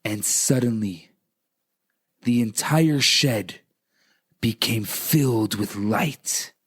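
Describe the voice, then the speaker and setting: A man speaking as a rabbi on radio, in a dramatic, inspirational storytelling style, deliberate and emphatic. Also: lowish voice, slightly rough. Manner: deliberate, emphatic, repeats phrases for effect.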